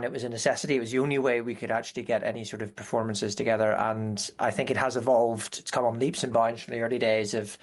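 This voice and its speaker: Male actor talking shop casually, ordinary mid pitch. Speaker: actor, table read participant. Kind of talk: casual reflective discussion.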